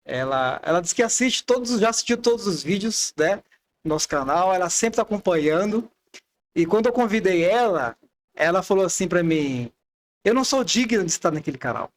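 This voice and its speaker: A man's voice: sits high, lively intonation, brisk, a little hiss or hum. A man in his twenties hosting a religious podcast, chatty and loose in manner. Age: 20s. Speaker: podcast host. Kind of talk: casual religious podcast chat.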